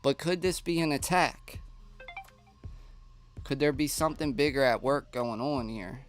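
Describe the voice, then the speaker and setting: A man's voice: medium pitch, steady tone, measured pace, faint music behind. Stuffy-nosed man chatting on a live stream. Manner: chatty, reads viewer comments aloud. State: stuffy nose. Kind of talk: casual live stream chat.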